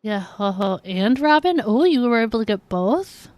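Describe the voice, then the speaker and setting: A woman's voice: fairly high pitch, big pitch swings, moderate pace, a little mellow, no echo. A woman livestreaming a video game, chatting casually and playfully with her viewers as she plays. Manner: laughs easily, playful reactions. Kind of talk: casual chat with viewers while playing.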